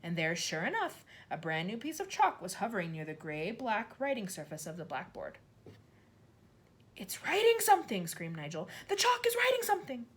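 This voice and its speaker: Woman doing a little kid screaming, very high, freaked out. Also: sing-song, a little fast, hardly any pauses. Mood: shrieking. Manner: shrill, panicky. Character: small frightened schoolboy.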